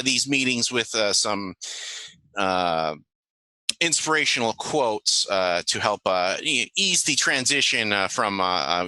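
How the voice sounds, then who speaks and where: A man plays a female government agent running a briefing in a tabletop game. The delivery is halting, wry and businesslike, with a very bright, forceful tone in a dry, close recording. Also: mid-range voice, no echo, exaggerated voice, low-quality recording. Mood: mock-official. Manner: halting, dryly joking.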